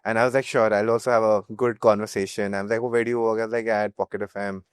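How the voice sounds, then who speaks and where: A man's voice: fairly low pitch, level tone, rapid-fire, smooth, dry recording, studio quality. A young man with an Indian accent, a startup founder telling anecdotes casually in an interview. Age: young adult.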